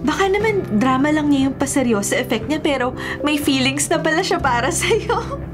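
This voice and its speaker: A woman's voice: high-pitched, animated, normal speed. An actress playing a playful, gossipy young Filipino woman, the teasing best friend, in a radio drama. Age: young adult. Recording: loud music under the voice.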